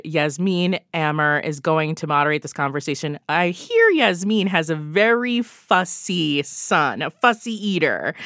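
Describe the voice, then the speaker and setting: A woman's voice: low voice, fairly bright tone, no echo, studio quality. A woman guest on a radio podcast, recommending events in an upbeat way and laughing often. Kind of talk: casual radio chat.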